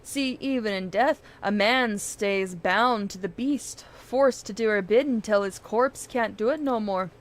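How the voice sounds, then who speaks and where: A woman's voice: medium pitch, measured pace, hardly any pauses, close to an everyday voice. A woman voicing a superstitious old sailor man in dramatic, piratical dialect, reading out game dialogue. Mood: grim, wry.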